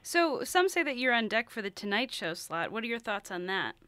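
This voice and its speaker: A woman's voice: on the high side, sing-song, a little fast, pushed and bright, smooth, dry recording, studio quality. An American woman hosting a conversational radio interview, asking long, meandering questions.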